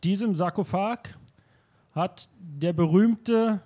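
A man improvising a nervous male museum director who speaks haltingly. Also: high-pitched, warm tone. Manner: hesitant, halting, full of fillers. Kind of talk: improvised radio play.

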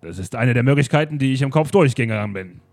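A self-assured detective with French airs, put on by a man in an improvised radio play. His voice has a gravelly, creaky grain and a dry, unhurried confidence. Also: mid-range voice, lively intonation. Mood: smug. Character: shrewd male detective, smug, French airs.

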